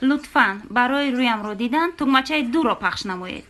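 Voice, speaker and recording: Woman playing a high-voiced, formal operator-like bride. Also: lively intonation, fairly bright tone. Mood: formal, unruffled. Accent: Tajik. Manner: formal, scripted, polite. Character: veiled bride talking like an automated phone operator. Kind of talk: comedy sketch acting.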